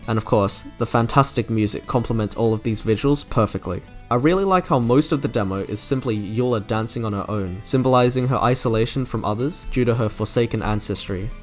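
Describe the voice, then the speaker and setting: A man's voice: medium pitch, a little fast, few pauses. Young man narrating over music. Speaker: video game reviewer. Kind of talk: scripted review commentary. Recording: background music under narration.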